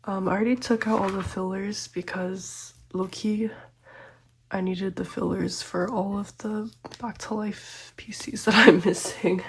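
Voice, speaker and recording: Soft-spoken, slightly congested young woman. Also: medium pitch, steady tone, measured pace, a little hiss or hum. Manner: gentle, chatty, lots of ums and small laughs. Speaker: photocard collecting vlogger. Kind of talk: soft-spoken collecting vlog, often whispered.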